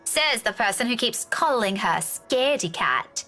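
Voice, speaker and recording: A young schoolteacher in a game drama, a fussy, insistent worrier with a sharp tongue. Her voice is high and bright and swings widely in pitch. Faint music sits behind it. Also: expressive, unhurried. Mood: indignant, suspicious. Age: young adult. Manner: urgent, insistent. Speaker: game voice actor. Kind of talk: dramatic visual novel dialogue.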